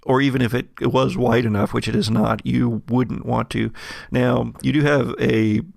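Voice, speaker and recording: A man working as game master, describing scenes of a tabletop horror roleplaying game in a halting, think-aloud way. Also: mid-range voice, fairly even intonation, creaky, darkish tone, dry recording, clean studio sound.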